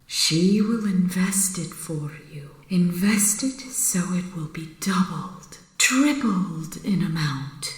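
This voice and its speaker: A woman playing the fake ghost of a dead mother in a comic audio drama, syrupy and theatrical, with breathy, drawn-out séance delivery. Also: on the low side, animated. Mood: eerie, coaxing. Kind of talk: comic audio drama in old radio manner. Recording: echoing, roomy séance sound.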